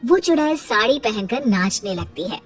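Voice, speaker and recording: A woman's voice: a little high, lively intonation, moderate pace. A woman narrator reading a scripted children's spooky story. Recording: slightly distant voice under clear music. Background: background music.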